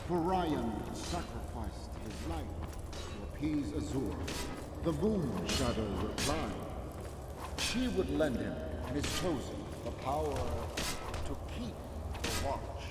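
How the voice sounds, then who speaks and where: An actor playing a solemn, reverent keeper of temple lore, speaking deliberately and ceremonially in a fantasy game. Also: male voice, medium pitch, expressive, heavy background noise. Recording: distant, long hall reverb, loud ambience.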